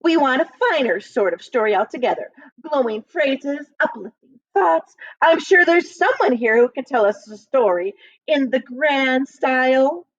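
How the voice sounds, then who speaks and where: A woman's voice: high-pitched, lively intonation, a little slow, dry recording, lo-fi sound, pitched above the performer's own voice. A woman voicing a coy, snobbish prioress who speaks with mock-French affectation.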